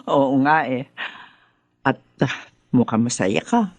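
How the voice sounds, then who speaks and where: An actor voicing a teasing, gossipy aunt in a radio drama. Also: male voice, medium pitch, expressive, slow, dry recording. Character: teasing aunt, curious and chatty.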